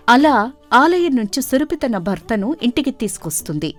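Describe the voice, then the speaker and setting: A woman reading scripted storybook narration as the narrator of an animated folktale. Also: on the high side. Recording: faint music behind. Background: faint music.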